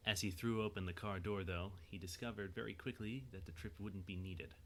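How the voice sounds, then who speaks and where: Low-pitched male story narrator. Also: steady tone, fairly quick, a little hiss or hum.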